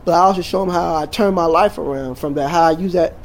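A man in his forties from Jamaica, a teacher, talking in a casual interview, relaxed and rambling with many fillers and restarts. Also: on the high side, fast talker, a little hiss or hum.

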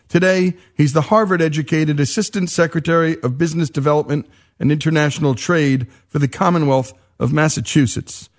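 A man hosting a radio interview, introducing his guest and asking questions in a measured, deliberate way. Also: on the high side. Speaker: host.

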